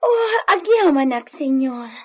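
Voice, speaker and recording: A woman's voice: sits high, sing-song, slow, heavily acted. A woman with an Ilocano accent playing a vain, cheeky, coquettish young maid in a radio drama. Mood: eager, ingratiating.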